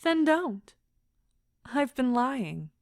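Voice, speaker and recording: Actress as an anguished, high-voiced wife in an audio drama. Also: female voice, big pitch swings, no echo, studio quality, full character voice. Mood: brittle, hurt. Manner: impassioned, deliberate, dramatic. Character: anguished wife confessing to her husband, remorseful, wounded, bitterly defiant. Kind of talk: theatrical audio drama acting.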